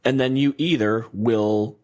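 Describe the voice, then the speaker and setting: A man's voice: medium pitch, measured pace, a little mellow. A man co-hosting a podcast, talking in blunt, profane, argumentative casual chat. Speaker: podcast co-host.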